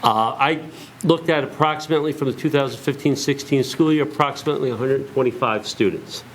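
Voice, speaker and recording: A hoarse man giving a halting, formal presentation to a school board, full of fillers. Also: medium pitch, slight background noise, poor audio. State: hoarse, lost voice.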